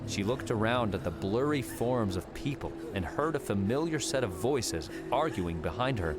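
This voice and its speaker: A man's voice: lowish voice, animated, normal speed. A man reading an audiobook in a dramatic storytelling style. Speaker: audiobook narrator. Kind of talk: dramatic scripted narration. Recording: music under the voice. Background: music.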